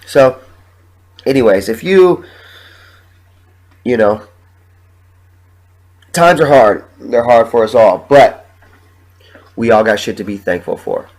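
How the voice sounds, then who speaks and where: A young man hosting his own podcast while sick with the flu, sniffling and talking in a halting, rambling, emotional way with plenty of swearing. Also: mid-range voice, a little mellow, poor audio. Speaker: podcast host. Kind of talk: solo podcast monologue.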